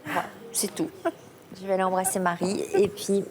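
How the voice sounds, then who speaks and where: A woman hosting a television talk show, guiding guests with soft murmurs of encouragement. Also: mid-range voice, fairly even intonation, moderate pace, noisy background. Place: television studio.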